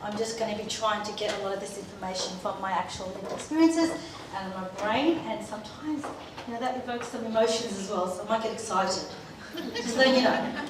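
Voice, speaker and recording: A woman from Turkey giving an informal, personal conference talk in an expressive, chatty and heartfelt way. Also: medium pitch, fairly quick. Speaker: conference speaker. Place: large echoey room at a live event. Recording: echoey hall, distant mic, faint noise.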